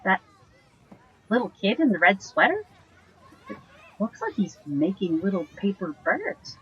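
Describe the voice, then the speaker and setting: Tender magical heroine, very breathy husky voice, slow, hesitant, audio drama, slightly muffled. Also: female voice, medium pitch, very animated, fairly bright tone, a faint crowd, exaggerated voice. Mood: curious.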